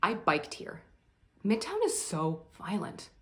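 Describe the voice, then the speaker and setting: An actress plays a breezy, self-absorbed woman turning up late to a party. She chats and rambles with a dramatic flair at a middling pitch, like a scene from a stage play. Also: wildly swooping pitch, slow pace, fairly bright tone, exaggerated voice. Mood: breezy, scattered. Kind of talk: theatrical monologue performance.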